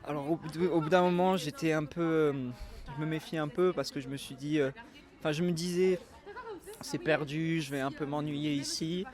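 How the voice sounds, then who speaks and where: Laid-back young guy, a touch high-pitched, informal chat. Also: moderate pace. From Toulouse suburbs, France. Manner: relaxed, informal slang. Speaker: interviewee and show host. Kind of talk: casual chat.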